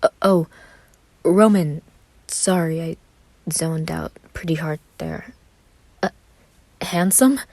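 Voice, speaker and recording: Woman voicing a shy, halting, gloomy young man. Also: sits low, darkish tone, no echo, full character voice. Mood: flustered. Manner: halting, stammering.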